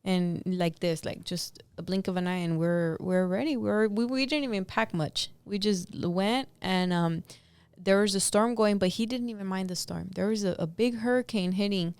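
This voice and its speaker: A woman tells a story in casual conversation, slipping into the voices of the people in it. Her voice is smooth and clear. The sound is dry and close, with no room echo. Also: mid-range voice, normal speed, hardly any pauses. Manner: animated storyteller, acts out dialogue. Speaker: guest, business co-owner. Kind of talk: casual chat.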